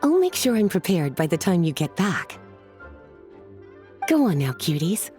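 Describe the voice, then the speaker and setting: A woman's voice: fairly low pitch, sing-song, measured pace, a little mellow, faint music behind. An actress playing a languid, flirtatious female mentor who calls others cuties and sweetie. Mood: teasing. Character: sultry, teasing female mentor and librarian.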